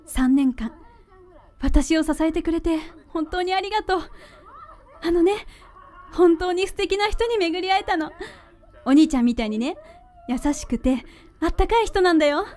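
A woman's voice: very high-pitched, expressive, normal speed, long pauses, a little mellow. An actress playing a sweet, affectionate young woman, a trusting little sister, in a radio drama. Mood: tender, grateful. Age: young adult.

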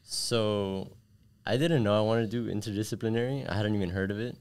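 Young man, from Miami, deep voice, smooth tone, earnest, casual fillers, desk podcast mic. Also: fairly quick, a little mellow. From Miami, USA. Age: young adult. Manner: earnest, thoughtful, frequent "like" fillers. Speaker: guest. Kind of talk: casual interview answers. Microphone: desk podcast mic with headphones.

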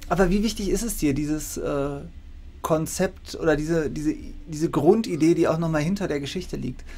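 A man's voice: fairly high pitch, moderate pace, dark tone. A middle-aged man hosting a conversational interview over a video call. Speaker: interviewer, host. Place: room with bookshelves, video call. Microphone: shared video call microphone.

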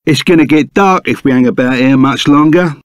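An actor voicing a burly, good-hearted ex-bandit in a video game, with a London Cockney accent and a blunt, bantering, colloquial manner. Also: male voice, mid-range voice, brisk, noisy background, close to an everyday voice. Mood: chummy, urging. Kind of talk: video game character acting.

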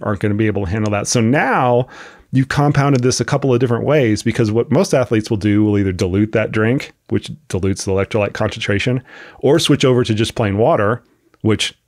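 A man's voice: fairly low pitch, darkish tone, no echo, clean studio sound. A man hosting a podcast with a cold and a sniffle, explaining at length in a casual, thinking-aloud way with frequent restarts. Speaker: podcast host, coach. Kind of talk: casual expert discussion.

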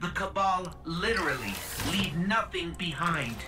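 Low earnest woman, precise and formal. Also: animated, a little slow, far from the mic, music in the background. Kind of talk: video game cutscene acting.

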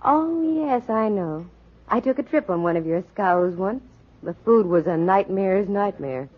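Husky, wisecracking lady private eye. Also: medium pitch, animated, a little slow. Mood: dryly teasing. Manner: dry, sardonic, wisecracking. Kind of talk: 1940s radio detective drama acting. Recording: muffled vintage 1940s radio recording.

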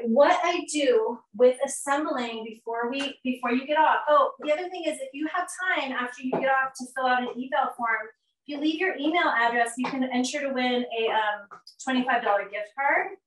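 A woman's voice: high-pitched, a bit bright, a little hiss or hum. A middle-aged woman leads a live cooking class in her home kitchen, chatting and hurrying as she cooks. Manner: chatty, upbeat, many fillers while cooking, moves around the stove. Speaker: nutrition educator hosting a live cooking class. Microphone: laptop or fixed camera across the kitchen. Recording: roomy kitchen sound from a distance.

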